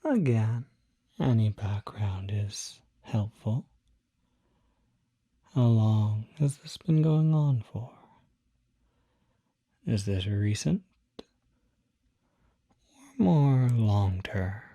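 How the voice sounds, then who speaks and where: A calm demon doctor acted out by an ASMR performer speaks very slowly in a soft, dark-toned murmur that often slips into a whisper. The questions are gentle and deliberate, with pauses between phrases, in a close, dry ASMR roleplay. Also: medium pitch, husky, dry recording, exaggerated voice. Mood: calm, attentive. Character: calm, attentive demon doctor treating a patient.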